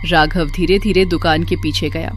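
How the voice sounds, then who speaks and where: A woman's voice: sits low, fairly even intonation, normal speed, faint music behind. A woman giving a dramatic scripted narration of a horror story.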